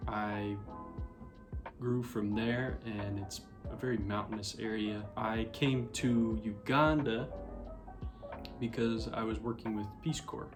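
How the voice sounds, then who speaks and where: A man's voice: fairly low pitch, steady tone, slow, over music. A young man from Colorado co-hosting a casual couple chat, easygoing and joking as he tells a story. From Colorado, western United States. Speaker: co-host.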